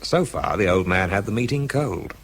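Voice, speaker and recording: A scientist's aide telling his own story in a radio drama. The voice is deep and slightly rough, and the delivery is understated and wry. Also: animated, moderate pace. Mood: dry recounting. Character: dry, wry young scientific aide narrating. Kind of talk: first-person radio drama narration.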